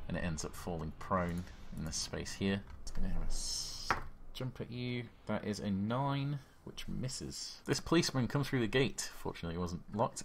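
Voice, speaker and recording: A man's voice: low-pitched, fairly bright tone. A man running a tabletop horror game as game master, describing the action blow by blow with sound effects, on a voice call.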